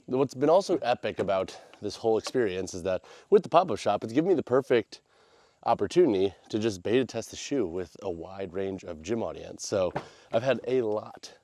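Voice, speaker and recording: Low-pitched nasal American man vlogging. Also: lively intonation, brisk, dark tone, no echo, studio quality. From United States. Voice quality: nasal, congested. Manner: informal, slangy, rambling. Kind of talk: casual vlog chat.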